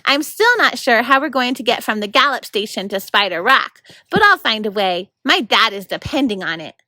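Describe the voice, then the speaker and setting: Female storybook reader, bright and animated, very varied pitch. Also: normal speed, no room sound. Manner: animated, acts out the narration. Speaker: volunteer reader of a children's novel. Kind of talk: expressive storybook read-aloud.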